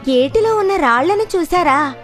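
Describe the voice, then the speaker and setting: A woman's voice: very high-pitched, expressive, moderate pace, soft background music. A woman voicing a crafty animal schemer giving advice in a children's cartoon fable. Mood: conspiratorial. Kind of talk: children's cartoon story acting.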